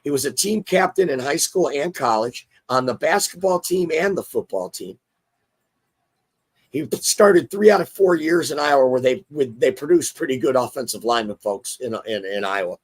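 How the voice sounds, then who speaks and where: A hoarse man hosting a casual sports talk show, chatty and excitable. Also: mid-range voice. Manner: chatty, excitable, restarts often. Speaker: show host.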